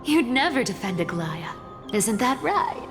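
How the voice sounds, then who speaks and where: A woman's voice: fairly high pitch, very animated, a little slow. An actress playing a sly, world-weary female trickster thief in a video game, guarded, proud and knowing. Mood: sly, commanding. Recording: long reverb under clear music.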